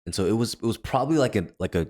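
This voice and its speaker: A young man with a deep voice, a podcast co-host telling a story in casual chat with many fillers and restarts. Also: lively intonation, racing, a little mellow. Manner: rambling, filler-heavy storytelling.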